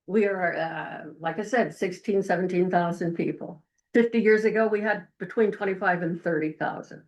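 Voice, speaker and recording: An older woman speaks up at a county meeting, loud and folksy, wandering through her point. Her voice sits a little low for a woman. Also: moderate pace. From Eldora, Iowa. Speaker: resident giving public comment. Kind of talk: public comment at a county meeting.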